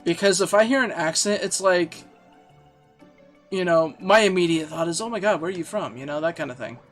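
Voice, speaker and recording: A man's voice: sits high, fairly quick, a bit bright. A man streaming a game from home, chatting casually and self-deprecatingly, laughing easily, with faint music behind. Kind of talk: casual chat while playing and reading chat.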